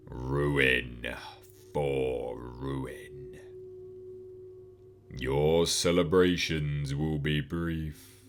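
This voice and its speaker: A man voicing a burnt, cold, menacing male demon who speaks in slow, grave pronouncements. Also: a bass voice, fairly bright tone, slight background noise, voice pushed down, heavily acted.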